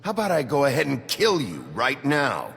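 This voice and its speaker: A hot-tempered lawman in a dramatic video game, gruff and threatening. The voice is gravelly and hard-edged, with blunt delivery, set in a long reverb over music. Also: mid-range voice, wildly swooping pitch, normal speed, fairly bright tone, full character voice. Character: gruff, hot-tempered, foul-mouthed male sheriff.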